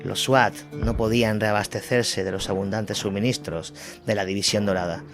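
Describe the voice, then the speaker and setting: A man's voice: lowish voice, steady tone, moderate pace. A man narrating a dramatized war chronicle as a scripted audio story. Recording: music bed behind the voice. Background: music.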